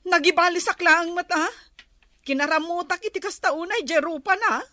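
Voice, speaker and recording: A woman's voice: very high-pitched, moderate pace, sharp tone, no room sound. A woman with an Ilocano accent playing an aggrieved village woman in a comedy drama.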